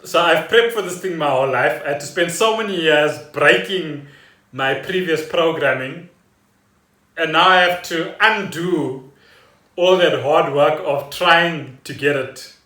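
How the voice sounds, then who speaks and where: A man's voice: on the high side, a little slow, bright, forceful tone. A man chatting casually as a podcast co-host at home. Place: indoors at home. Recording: roomy, distant from the mic.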